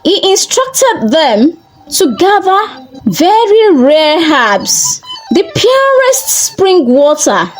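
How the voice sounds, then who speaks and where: A woman tells a folktale from a script in a high, animated voice. She moves slowly and deliberately, breaking sentences into short measured phrases. Her intonation rises and falls widely. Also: poor audio. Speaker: storyteller.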